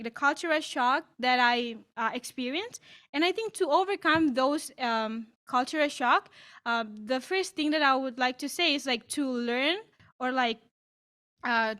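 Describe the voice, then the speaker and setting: High-voiced young woman, filler-heavy answers. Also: fairly bright tone. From East Timor. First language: Tetun. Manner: frequent "like" fillers, halting restarts. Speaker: student panelist. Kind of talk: online Q&A answer. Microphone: video call.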